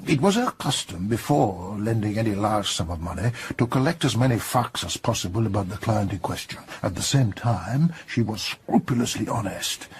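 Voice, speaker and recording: Deliberate, courteous French detective. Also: male voice, lowish voice, animated, a little hiss or hum. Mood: discreet, grave. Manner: formal, deliberate. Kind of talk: radio drama acting.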